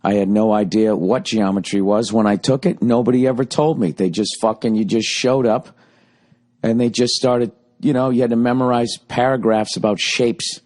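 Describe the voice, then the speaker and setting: A man in his forties with a Boston accent, ranting sarcastically and profanely in a rambling comic monologue, his voice going a little hoarse. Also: lowish voice, fairly even intonation, moderate pace, a little mellow. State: voice getting hoarse. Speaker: podcast host.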